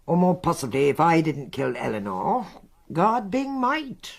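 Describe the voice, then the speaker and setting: An older actress with a British accent playing a shrewd, coolly unrepentant elderly sleuth in a radio drama, matter-of-fact and deliberate. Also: female voice, sits low, big pitch swings, gravelly, exaggerated voice. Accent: British English.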